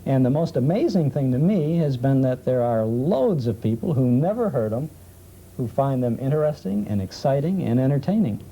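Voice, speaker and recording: A man's voice: medium pitch, animated, moderate pace, mellow. A man who hosts a radio program, chatting as a guest on a 1970s television panel. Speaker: old-time radio show host, talk show guest. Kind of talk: conversational panel talk. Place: television studio. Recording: muffled, faintly noisy 1970s television recording.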